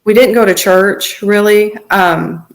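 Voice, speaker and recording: A woman guest telling her personal story informally in an interview, in halting, pieced-together phrases. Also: medium pitch, steady tone, poor audio. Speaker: podcast guest sharing personal testimony.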